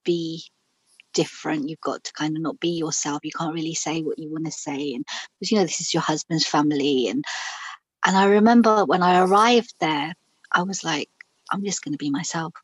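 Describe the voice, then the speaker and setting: A middle-aged woman from Pakistan tells her own story as an interview guest. Her voice sits low and husky, and the recording is dry and close. She speaks frankly and reflectively, with plenty of fillers and false starts. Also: normal speed, dry recording, low-quality recording.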